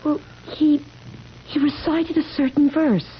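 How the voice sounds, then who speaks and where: A woman's voice: high-pitched, steady tone, unhurried. An actress playing an anguished, pleading immortal young woman weary of life, in 1970s radio drama style. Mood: earnest. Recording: muffled, noisy 1970s radio recording.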